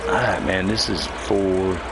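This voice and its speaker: A man's voice: low-pitched, takes it slowly. A man chatting casually over gameplay in a folksy, rambling way. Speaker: game streamer, host. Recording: loud vehicle engine noise behind voice. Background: idling truck engine, game vehicle sounds.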